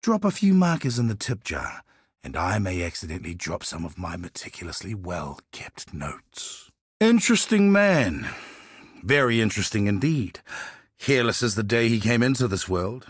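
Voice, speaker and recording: Sly game-world barber, low husky voice, wry, gossiping informant, world-weary, conversational with dramatic dips. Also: male voice, big pitch swings, normal speed, no echo, studio quality. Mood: sly, insinuating, then intrigued, wry. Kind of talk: scripted video game character dialogue.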